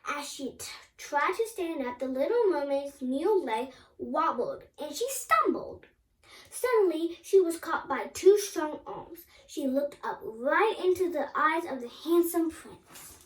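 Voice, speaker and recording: A woman reading a children's story aloud, haltingly, with stumbles and repeated words. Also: high-pitched, lively intonation, rough recording.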